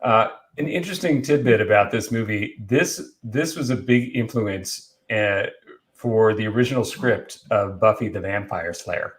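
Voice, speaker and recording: A man's voice: on the low side, a little slow, a little hiss or hum. A man chatting casually and enthusiastically as a guest presenter, telling bits of film trivia.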